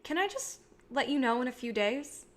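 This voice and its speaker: A woman playing an idealistic filmmaker of thirty in a staged play reading. Her voice is high, and she speaks casually, with polite wariness and a wry edge. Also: expressive, normal speed. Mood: guarded.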